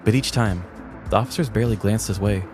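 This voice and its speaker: Casting: male podcast narrator, 30s, lower register, mock-dramatic comic crime storytelling over light music. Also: fast talker. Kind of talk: scripted true-crime comedy narration. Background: music bed.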